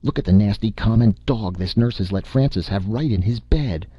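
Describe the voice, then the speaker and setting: A man voicing a shrill, haughty society mother who is disgusted by a dog. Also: normal speed, husky, no echo, highs cut off. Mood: imperious.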